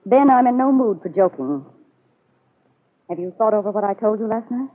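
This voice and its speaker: A woman's voice: medium pitch, lively intonation, moderate pace, close to an everyday voice. An actress playing a confident, scheming middle-aged woman in a 1940s radio soap, cool and insinuating. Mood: coolly threatening. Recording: muffled old radio broadcast.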